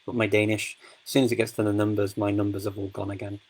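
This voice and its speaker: A man's voice: on the low side, completely flat delivery, quick pace, low-quality recording. A man hosting a casual live-stream Q&A, speaking in a chatty, rambling way with many fillers and restarts. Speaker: host of a live Q&A.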